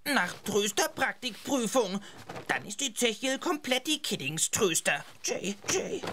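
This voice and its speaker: A woman playing an excitable little magic owl in a children's audio drama, speaking broken, quirky mishmash with invented words. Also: a little high, a little slow, a little hiss or hum. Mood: eager, chirpy. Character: excitable little blue owl comforter talking gibberish mishmash.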